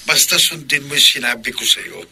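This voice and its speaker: Creaky-voiced, menacing young boyfriend. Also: male voice, mid-range voice, animated, a little fast, sharp tone, exaggerated voice. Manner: brooding, threatening. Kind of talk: radio drama acting.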